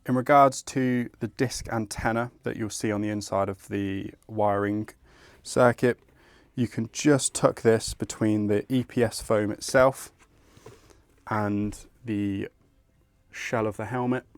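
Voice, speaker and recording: A man's voice: lowish voice, fairly even intonation, a little slow, no room sound. A man presenting an installation tutorial, explaining each step in a methodical, informal way. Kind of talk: instructional step-by-step tutorial narration.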